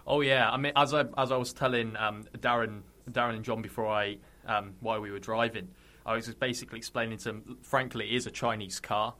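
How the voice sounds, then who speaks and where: A man's voice: lowish voice, level tone, fairly quick, bright, forceful tone. A man from Somerset, a podcast guest chatting casually with frequent ums and laughs. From Somerset, England. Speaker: guest, YouTuber.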